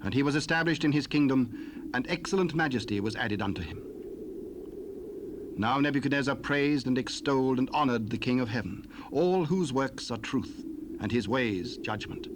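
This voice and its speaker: A man narrates dramatized scripture in a measured, solemn voice. Clear hiss from the cassette sits under his reading. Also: medium pitch, lively intonation.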